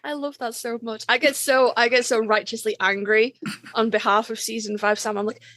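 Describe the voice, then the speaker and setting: A woman co-hosting a podcast, chatting casually in an animated, emphatic way. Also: fairly high pitch, moderate pace, dry recording. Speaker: podcast co-host. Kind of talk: casual fan chat.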